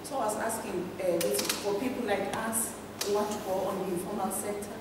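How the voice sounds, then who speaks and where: A woman's voice: medium pitch, fairly even intonation, a little fast. A woman with a Zimbabwean accent putting a question from the audience at a public meeting. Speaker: audience member asking question. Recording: echoey hall, distant mic, noisy.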